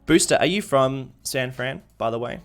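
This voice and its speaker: A man streams a game in an easygoing, conversational way. His voice sits at an average male pitch as he muses aloud to his viewers. Music plays behind him. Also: moderate pace. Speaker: live streamer. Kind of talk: casual game-stream commentary, chatting with viewers. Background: background music.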